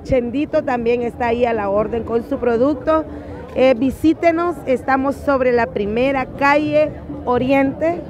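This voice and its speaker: A woman's voice: high voice, unhurried. A woman in her forties cheerfully inviting people to her food stall in a street interview, outdoors on a busy city square. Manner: cheerful, inviting, laughs often. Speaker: food vendor. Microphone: phone camera close. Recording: loud crowd behind. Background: crowd chatter.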